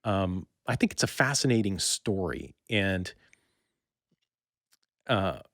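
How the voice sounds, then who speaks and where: A man's voice: sits low, slow pace, fairly bright tone, no room sound, studio quality. A man hosting a casual interview, rambling and circling back as he phrases things. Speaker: podcast host.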